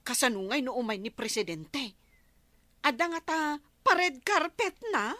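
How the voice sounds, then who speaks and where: A woman playing a nosy, easily awed village neighbor in an animated radio comedy style, exclamatory, with an Ilocano accent. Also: high-pitched, a little slow, dry recording, full character voice. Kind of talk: radio comedy drama acting.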